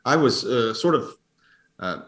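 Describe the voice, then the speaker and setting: A man answering interview questions conversationally as an astronomer, in a hesitant, halting, hedging manner. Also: mid-range voice, lively intonation, fairly bright tone. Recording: roomy, echoing sound.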